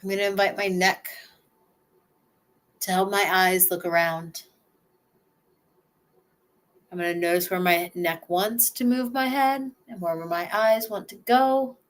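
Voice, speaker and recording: A woman leading a slow guided relaxation exercise, speaking gently in the first person and pausing for breaths and sighs. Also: medium pitch.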